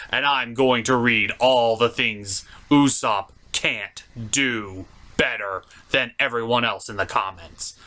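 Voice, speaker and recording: Animated, bright-voiced man hosting a comedic review. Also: mid-range voice. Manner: theatrical, opinionated, profane. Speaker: host and anime reviewer. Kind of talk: comedic scripted review commentary.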